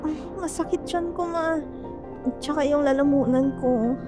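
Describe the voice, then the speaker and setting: A woman's voice: high voice, unhurried, loud music behind the voice. A woman with a Filipino accent playing an ailing, uncomplaining young daughter in pain, in an amateur radio drama. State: in pain, unwell.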